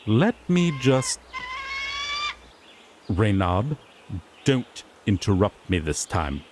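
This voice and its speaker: A man's voice: lowish voice, big pitch swings, drawn-out words, noisy background, heavily acted. A man playing a sassy, exasperated griffin knight in a fantasy audio roleplay, pompous one moment and sardonically grumbling the next. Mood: exasperated, flustered. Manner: pompous proclamations slipping into flustered, sardonic grumbling.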